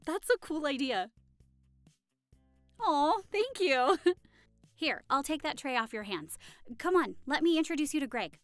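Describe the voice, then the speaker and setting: Woman voicing friendly young party hostess, high voice, unhurried, cheerful, affectionate, audio roleplay. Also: big pitch swings, dry recording, soft background music. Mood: welcoming. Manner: cheerful, chatty, reassuring. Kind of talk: audio roleplay acting, addressing the listener.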